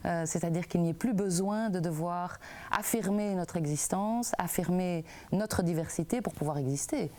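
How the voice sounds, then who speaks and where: A woman's voice: lowish voice, normal speed, darkish tone, a little hiss or hum. A woman from an advocacy association answering interview questions, thinking aloud and searching for words. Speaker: association worker.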